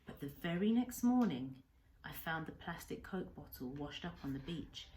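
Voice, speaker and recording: A woman teacher reading a children's novel aloud as an expressive narrator. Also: low-pitched, moderate pace, low-quality recording. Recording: faint background noise and ambience.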